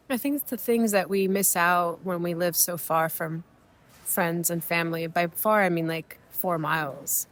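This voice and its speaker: A young woman from Mexico whose first language is Spanish, co-hosting a podcast with meandering, reflective casual chat full of fillers and restarts, recorded at an airport. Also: on the low side. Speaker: podcast co-host. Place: airport waiting area.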